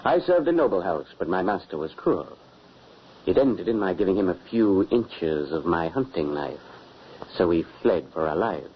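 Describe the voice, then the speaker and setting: A man's voice: low-pitched, very animated, moderate pace. An actor playing a bluff, courtly huntsman father on the run, speaking formally and theatrically in an old-time radio horror drama. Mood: intense. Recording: muffled, hissy old radio recording.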